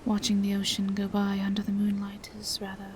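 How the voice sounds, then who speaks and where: A woman voicing a gentle, bashful female vampire in an intimate roleplay audio, speaking in soft, hesitant half-whispers. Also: medium pitch, monotone, fairly quick, a little hiss or hum, lightly acted. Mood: tender, teasing. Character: gentle, bashful female vampire in monstrous true form, lovestruck.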